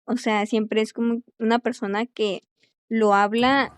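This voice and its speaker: A young woman, an English teacher, chatting quickly in a casual conversation and filling her pauses with este. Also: a little high, little pitch movement, clear, resonant tone, no echo, clean studio sound. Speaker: English teacher and language student.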